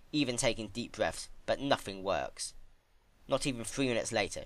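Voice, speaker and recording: Animated, forceful male story narrator. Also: lowish voice, no echo. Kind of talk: dramatic fan fiction reading.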